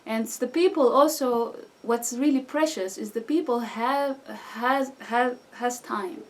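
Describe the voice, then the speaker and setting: A Syrian woman in her thirties, a guest speaking hesitant with frequent restarts and fillers. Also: fairly high pitch. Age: 30s. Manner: halting non-native English with restarts and fillers. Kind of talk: conversational interview answers. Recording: distant mic with faint background noise.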